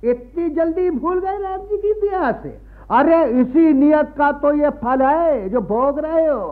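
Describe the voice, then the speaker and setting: An actor playing a sly, pious-talking village priest and moneylender, drawling unctuously with a rural north Indian accent in a radio play. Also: male voice, extremely high pitch, lively intonation, slow pace, exaggerated voice. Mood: accusing, taunting. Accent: rural north Indian Hindi. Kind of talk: radio drama acting. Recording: tinny, phone-like archive radio recording.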